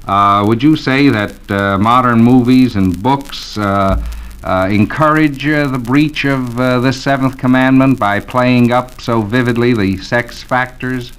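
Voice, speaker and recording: Male moderator, medium pitch, courteous questioner, 1940s radio panel. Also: unhurried, fairly bright tone, smooth, low-quality recording. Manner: polite, probing questioner.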